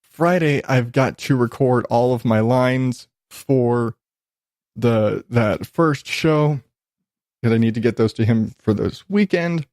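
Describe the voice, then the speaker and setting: A man hosts a podcast alone in a very dry, close-sounding recording. He speaks at a slow, unhurried pace with an average pitch. His talk is loose and informal, rambling and self-deprecating, with some swearing. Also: darkish tone, no echo. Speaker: podcast host.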